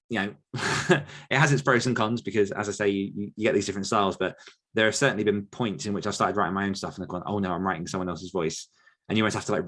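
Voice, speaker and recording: A man's voice: lowish voice, a bit bright. A man with an English accent answering listener questions in a casual, rambling podcast chat, with frequent fillers and restarts.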